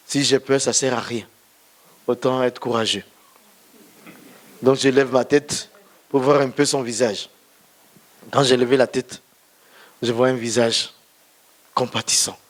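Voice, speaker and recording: Male pastor, french not his first language, testimony sermon, talks to the congregation, mid-pitched voice. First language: not French, another mother tongue. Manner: storytelling, addresses listeners directly. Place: church.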